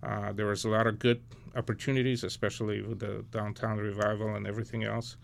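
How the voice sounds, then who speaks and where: Man, middle-aged, gravelly, earnest interview guest, reflective. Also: fairly low pitch, level tone, fast. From Ukraine. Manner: earnest, reflective, searching for words. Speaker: guest, nonprofit founder. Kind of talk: conversational interview.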